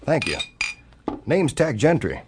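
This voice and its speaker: An actor playing a straight-talking, folksy cowboy back home and quick to bristle, in a Western audio drama. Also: male voice, medium pitch, huge pitch swings, noisy background. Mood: questioning.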